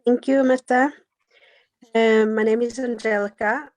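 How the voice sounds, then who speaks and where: Woman in her 30s from colombia, talks slow and low, dry close sound. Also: steady tone, darkish tone, dry recording. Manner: slow, halting with fillers. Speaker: panelist. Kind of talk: panel self-introduction.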